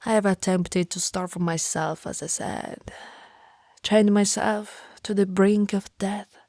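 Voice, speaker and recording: A woman voicing a centuries-old, sorrowful and tender female vampire in a slow, whispered ASMR roleplay with formal, old-fashioned phrasing. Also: medium pitch, fairly even intonation, a little mellow, dry recording, studio quality. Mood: anguished. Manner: breathy, slow, archaic formal phrasing with sighs.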